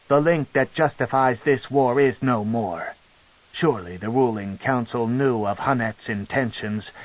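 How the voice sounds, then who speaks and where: A man voicing a cold, scheming female dark elf matriarch, with a slow, commanding and sneering delivery. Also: medium pitch, lightly acted. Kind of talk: audiobook character reading. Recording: dull lo-fi tape.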